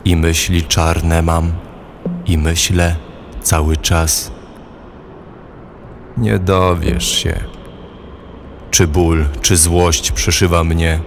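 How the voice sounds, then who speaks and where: An actor in an audio play reciting verse as a bitter, pained loner who longs to escape, in halting, brooding phrases. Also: male voice, deep voice, flat delivery, slight background noise, exaggerated voice.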